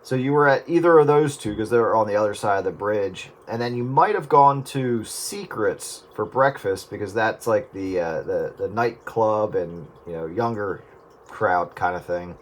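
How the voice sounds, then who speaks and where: A man's voice: fairly low pitch, expressive, normal speed, a little hiss or hum. A man in casual radio chat, explaining things in a laid-back, meandering way. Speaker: radio round-up participant.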